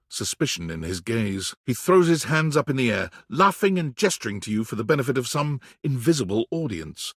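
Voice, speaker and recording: A man playing a wry, florid game narrator who tells the story in an arch, theatrical, deliberate way. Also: mid-range voice, husky, dry recording, clean studio sound. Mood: wry, dramatic. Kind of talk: dramatic scripted game narration.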